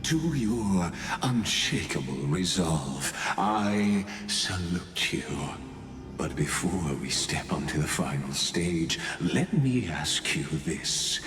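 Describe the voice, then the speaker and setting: An actor playing an ominous, pompous male villain in a game, speaking in deliberate, philosophical and condescending monologues. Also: sits low, animated. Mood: solemn, challenging. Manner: slow, deliberate, pompous, theatrical. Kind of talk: dramatic game character monologue. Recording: echoey hall reverb, music underneath.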